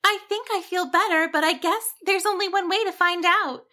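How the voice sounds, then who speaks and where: A woman's voice: extremely high pitch, unhurried, bright, forceful tone, voice pushed up, heavily acted. A woman voicing a nervous little female sheep who stammers, in a storybook reading. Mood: cheerful. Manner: stammering, shaky.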